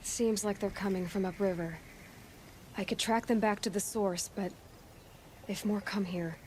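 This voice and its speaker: An actress playing a tough, self-assured young huntress in a video game, speaking in a dry, sardonic way. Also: female voice, mid-range voice, steady tone, a little slow, darkish tone, a little hiss or hum, close to an everyday voice. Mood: businesslike. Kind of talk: cinematic game dialogue.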